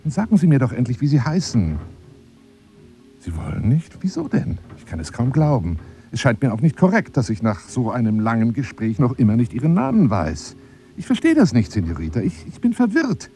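An actor playing a lonely, boastful gentleman courting by telephone in formal, flowery German radio drama style, moving between murmurs and outbursts. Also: medium pitch, sing-song, normal speed, faint music behind. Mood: pleading, offended. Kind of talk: radio drama monologue as one side of a phone call.

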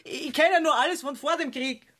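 Casting: older widow in radio drama, gushing, gossipy, given to nostalgic rambling. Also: female voice, high-pitched, moderate pace, a bit bright. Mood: nostalgic, confiding. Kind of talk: radio play dialogue.

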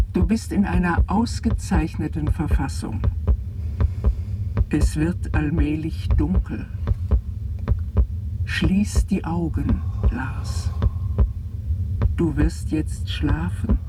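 A calm spaceship guide in a radio drama. He speaks extremely slowly in a lightly high male voice, hypnotic and soothing, over a clear humming, throbbing engine noise. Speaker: actor in a radio play. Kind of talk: radio drama, instructing a sleeper.

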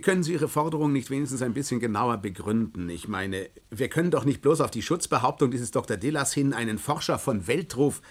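An earnest male legal official acted by a man in a radio play, with a rough, husky voice. He is formal and concerned, appealing to colleagues with some hesitation. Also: medium pitch, lively intonation, brisk, few pauses, lightly acted. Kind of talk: German radio play acting.

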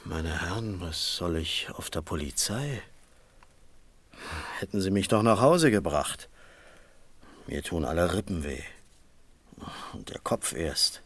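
Hungover, bewildered man, slow and halting. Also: low voice, animated, fairly bright tone, no echo, exaggerated voice. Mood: groggy, bewildered. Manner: halting, repetitive, defensive. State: groggy and hungover. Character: hungover, bewildered male garage owner protesting his innocence. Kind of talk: German radio crime drama acting.

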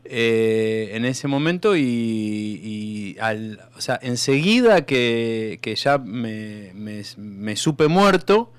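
A man's voice: fairly low pitch, expressive, drawn-out words, few pauses, smooth, no room sound. Man acting a stammering, eager martyr, dry sound. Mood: eager, explaining. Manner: hesitant, stammering, rambling. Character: eager, rambling martyred man claiming his afterlife reward. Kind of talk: comedic radio sketch dialogue.

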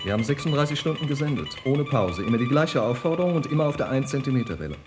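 Fast-talking actor as a sober male technician. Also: medium pitch, sing-song, over music, lightly acted. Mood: sober, concerned. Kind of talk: 1950s German radio drama acting.

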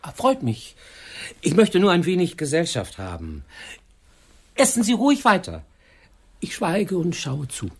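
Meek, lonely old widower, lilting. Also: male voice, on the high side, wildly swooping pitch, slightly rough, full character voice. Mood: meek, apologetic. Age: older. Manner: meek, rambling, halting, melancholy. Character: lonely, ageing widower intruding meekly for some company. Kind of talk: radio drama acting.

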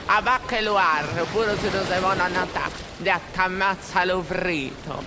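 A man with a Gallurese Sardinian accent speaking slowly and emphatically in an audio drama. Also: sits high. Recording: noisy background.

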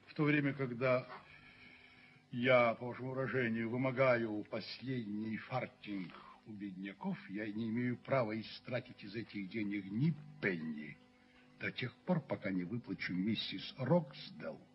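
An actor in an old radio play playing a dignified, self-righteous middle-aged landlord who speaks in measured, rhetorical phrases. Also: male voice, fairly low pitch, close to an everyday voice. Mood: indignant, pointed. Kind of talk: 1970s Soviet radio play acting. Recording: muffled old radio recording with noise.

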